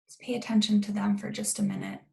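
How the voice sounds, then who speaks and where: Creaky-voiced woman guiding a calm meditation. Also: medium pitch. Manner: calm, gentle, unhurried with long pauses. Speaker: guided meditation leader. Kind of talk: slow, guided meditation.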